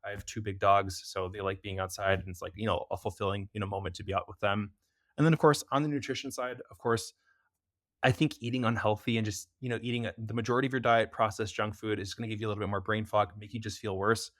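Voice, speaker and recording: A man's voice: fairly low pitch, fairly even intonation, fairly bright tone, no room sound, clean studio sound. A young American man chatting as a podcast guest, talking loosely with lots of fillers. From United States. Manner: fast, loose talk with many fillers. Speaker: podcast guest, preventative health expert. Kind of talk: casual interview chat.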